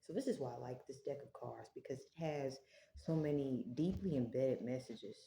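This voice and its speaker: A woman with a very deep, dark and mellow voice leads a slow, prayerful tarot reading. She speaks softly in an echoey room with faint background noise. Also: vocal fry. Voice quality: low voice. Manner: slow, prayerful, soft-spoken. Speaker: tarot reader. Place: home, early morning.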